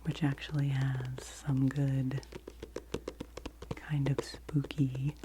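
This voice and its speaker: A woman in her forties, whispering gently and unhurriedly as she hosts a soft-spoken ASMR book review. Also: very deep voice, level tone, extremely slow, background noise. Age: 40s. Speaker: ASMR host.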